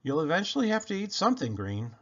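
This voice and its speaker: A man reading a children's picture book aloud in an expressive, playful way with animated emphasis. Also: a little high, fast. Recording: dull, lo-fi sound.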